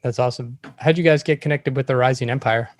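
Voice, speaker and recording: A man's voice: medium pitch, quick pace, dry recording. A man hosting a podcast, asking guests questions in a casual conversational way. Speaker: podcast host. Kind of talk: casual interviewing.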